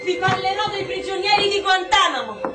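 An extremely high female voice over loud music as a relentless campaigner. She declaims with indignant, theatrical emphasis, challenging those in power. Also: expressive, brisk. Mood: grave, accusing. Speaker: actor. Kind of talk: declamatory Italian radio drama acting.

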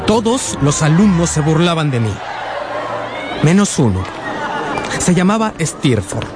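A man's voice: on the high side, sing-song, unhurried, crowd noise. An actor playing a sensitive young man narrating his unhappy boyhood in a radio drama. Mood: reflective. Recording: music bed behind the voice.

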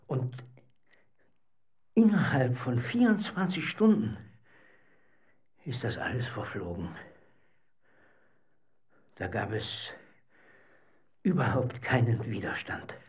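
Slow husky disillusioned witness. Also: male voice, mid-range voice, full character voice. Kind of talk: documentary radio play, acted testimony.

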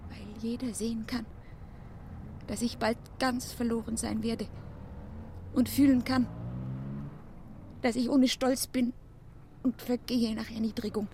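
A woman's voice: on the high side, level tone, measured pace, pauses often, darkish tone. An actress playing a submissive young woman desperately in love in a radio play, speaking with solemn, yielding fervour. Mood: abject, devoted. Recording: noisy old radio play recording.

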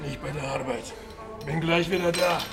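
Man playing cold hired killer, gravelly voice, curt, commanding, radio crime drama. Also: a little high, moderate pace, over music, close to an everyday voice.

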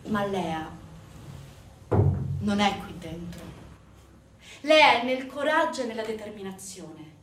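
A woman giving a scripted theatrical narration in a grave, measured manner with dramatic pauses. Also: medium pitch, lively intonation, fairly bright tone, a little hiss or hum. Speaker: stage actress narrating. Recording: echoey room, distant mic, music.

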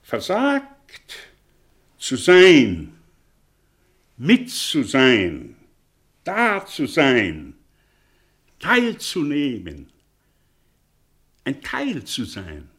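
A man's voice: mid-range voice, wildly swooping pitch, fairly bright tone, breathy edge, heavily acted. An actor in a German radio play delivering a theatrical monologue as a bitter, tormented, philosophising man. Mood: anguished, intense. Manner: dramatic, brooding, repeating phrases with long pauses.

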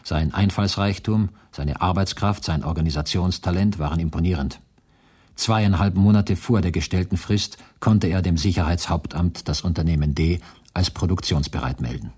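A man acting as a former prisoner who narrates a radio play in a sober, formal, husky voice. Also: low-pitched, a little mellow. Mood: sober, detached. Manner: measured, matter-of-fact, formal. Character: sober male former prisoner recounting camp events.

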